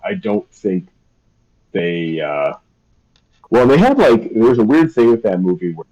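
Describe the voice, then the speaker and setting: A man's voice: on the low side, sing-song, a little slow, warm tone, rough recording. A young man chatting casually on a live stream, rambling and hesitant with lots of fillers. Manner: rambling, hesitant, self-deprecating. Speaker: streamer and artist.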